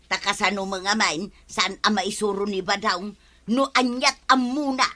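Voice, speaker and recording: A woman's voice: medium pitch, steady tone, normal speed, natural voice. An actress playing a teasing, chatty village woman in a comedy radio drama, drawing out her words with an Ilocano accent. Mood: complaining.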